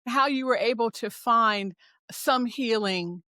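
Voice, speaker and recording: A woman hosts a podcast interview in an encouraging, inviting manner. Her voice sits fairly high and sounds dry and close to the mic. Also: slow pace, sharp tone, no echo. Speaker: podcast host. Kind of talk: interview conversation.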